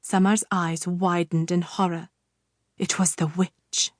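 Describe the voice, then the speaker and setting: A woman narrating a children's fairytale audiobook with measured, expressive storytelling. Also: on the low side, no echo, studio quality.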